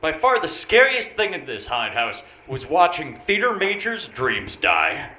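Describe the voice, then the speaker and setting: A man in his thirties with a deep voice, telling dry, understated stand-up one-liners on a bar stage. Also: wildly swooping pitch, a little slow. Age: 30s. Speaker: stand-up comic. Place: small bar comedy stage. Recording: roomy bar with crowd noise. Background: audience laughter.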